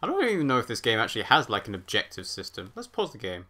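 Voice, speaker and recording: A man's voice: medium pitch, wildly swooping pitch, fast, sharp tone. A man giving casual live game commentary as a streamer, chatty, thinking aloud as he plays. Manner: chatty, reacting aloud and reading chat. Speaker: let's play streamer.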